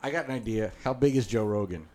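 A man's voice: fairly low pitch, fast, darkish tone. A young man co-hosting a comedy podcast, cracking jokes and laughing. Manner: wisecracking, laughing. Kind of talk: casual comedy podcast banter.

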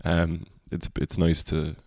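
This is a Cork Irish man with a deep baritone voice that sits very low. His delivery stays level, with little rise and fall. He sounds reflective and wanders through his thoughts in a casual podcast chat, recorded close with a dry sound. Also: monotone, slow pace, a little mellow, no room sound. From Cork, Ireland. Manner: reflective, rambling. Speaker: singer-songwriter guest.

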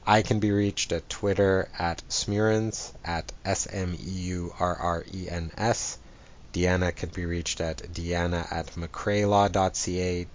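Deep-voiced male podcast host. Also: lo-fi sound. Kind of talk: scripted podcast intro.

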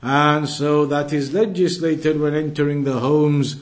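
A man teaching an Islamic lesson, explaining in a deliberate way and repeating his points. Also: a little high, fairly even intonation. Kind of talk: religious lesson, reading Arabic then explaining.

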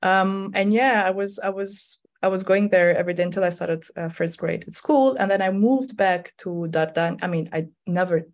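A woman in her forties whose first language is Albanian, speaking English as a playwright guest in an unhurried, hesitant way, with many fillers and restarts. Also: medium pitch. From Kosovo. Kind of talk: conversational interview.

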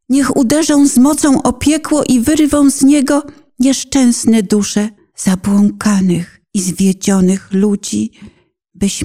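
Slow, soft-edged woman reciting penitential prayer. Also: fairly high pitch. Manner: solemn, pleading, dramatic delivery with hushed phrase endings. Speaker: narrator of a poetic religious radio play.

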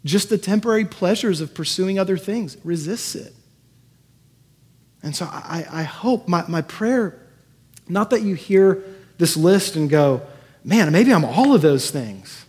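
A man's voice: sits high, lively intonation, measured pace, a little mellow, breathy edge. A man preaching as a pastor in a conversational sermon, earnest and given to emphatic repetition. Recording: echoey hall with long reverb.

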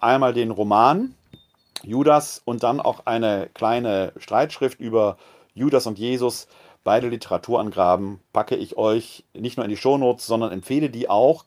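A man preaching a calm, reflective sermon in a church video podcast, speaking. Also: mid-range voice.

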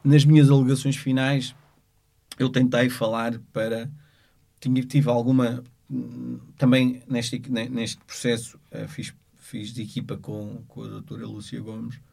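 Halting Portuguese man, even low-keyed delivery. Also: gravelly. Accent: European Portuguese. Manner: halting, measured, searching for words. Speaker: lawyer. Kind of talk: unscripted spoken account of a court case.

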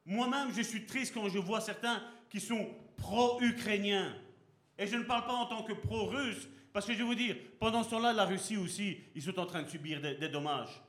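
A man's voice: high voice, moderate pace, a bit bright. A man preaching a sermon as pastor, speaking with passion and throwing rhetorical questions at his congregation. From Belgium. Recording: echoey hall.

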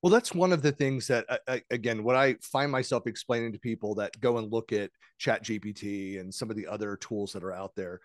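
A man's voice: on the low side, fairly quick, hardly any pauses, dry recording, studio quality. A male podcast host who talks through his questions in a chatty, rambling way with many restarts. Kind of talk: podcast interview, conversational.

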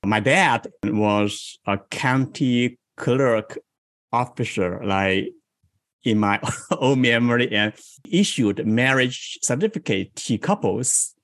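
Slow, thoughtful man with a Chinese accent. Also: mid-range voice, lively intonation, a bit bright, dry recording. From South China. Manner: slow, thoughtful, reflective storytelling. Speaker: guest, improv coach. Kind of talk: interview conversation.

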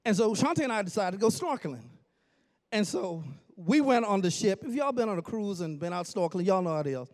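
Animated high-voiced preacher from the Bahamas. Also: male voice. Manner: animated, jokes with congregation, bursts into shouts. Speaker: pastor. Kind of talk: storytelling sermon. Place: church sanctuary.

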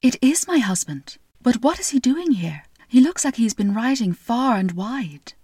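A woman doing a soft, wondering young wife. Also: fairly high pitch, animated, moderate pace, breathy edge, dry recording, studio quality. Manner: soft, wondering and half-whispered. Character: worried young wife and mother hiding in a tree.

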